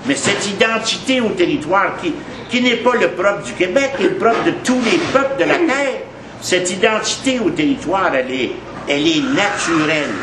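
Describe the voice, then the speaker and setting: A man's voice: high voice, expressive, moderate pace. A man with a Quebec French accent giving an informal history talk in a café, emphatic and conversational, repeating points for weight. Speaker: history lecturer. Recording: echoey café, noisy background.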